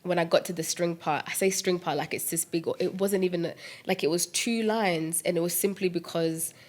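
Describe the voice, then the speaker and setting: A young woman talking about her music in a relaxed interview, her voice sitting a little low. She gets animated and laughs often, with plenty of "like" and "um" between thoughts. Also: a little fast. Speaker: musician and producer guest. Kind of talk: casual interview chat.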